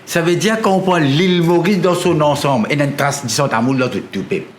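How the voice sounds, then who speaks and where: A man's voice: fairly high pitch, brisk, echoey room, a little hiss or hum. A man giving an impassioned speech about identity, declaiming and piling up lists of phrases.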